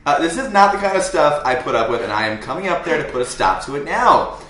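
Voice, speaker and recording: A man's voice: on the high side, very animated, quick pace, bright, forceful tone. A man playing an indignant, self-important caller making a complaint as a prank. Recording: roomy living room recording.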